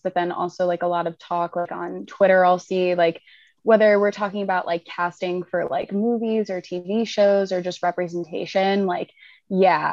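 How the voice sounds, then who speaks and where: A woman's voice: lowish voice, dry recording, lo-fi sound. A woman in her twenties chatting as a podcast guest, rambling with many fillers. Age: 20s. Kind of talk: casual group chat.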